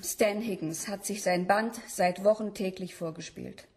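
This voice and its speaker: A woman's voice: medium pitch, unhurried, a little mellow, close to an everyday voice. A woman acting a worried, insistent young student in a radio drama, earnest and pressing. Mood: defiant, earnest.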